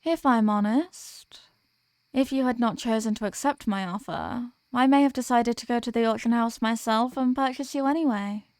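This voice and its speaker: A woman's voice: fairly high pitch, normal speed, a little mellow, no room sound, studio quality, natural voice. A woman playing a possessive, dominant vampire in an ASMR roleplay, speaking in a teasing, condescending manner with formal phrasing. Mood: possessive, soothing. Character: possessive, dominant female vampire toying with captive prey. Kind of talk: ASMR roleplay, scripted intimate monologue.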